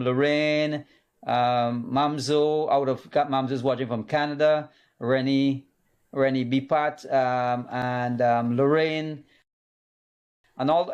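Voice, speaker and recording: A man's voice: mid-range voice, a little mellow. A man hosting a live call-in medical show in a studio, talking casually with many fillers and restarts. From Guyana.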